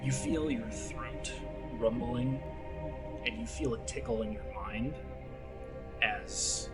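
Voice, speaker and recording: A man acting as game master, narrating a horror tabletop scene in halting, suspenseful phrases. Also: medium pitch. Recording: loud music underneath.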